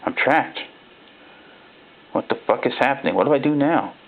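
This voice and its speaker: A man voicing a frightened ordinary guy telling his own horror story, halting and rattled, with a gravelly voice. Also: mid-range voice, animated. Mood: trapped, desperate. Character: frightened ordinary man recounting robot replacements. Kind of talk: first-person dramatic horror narration. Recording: thin, phone-like narrow-band sound.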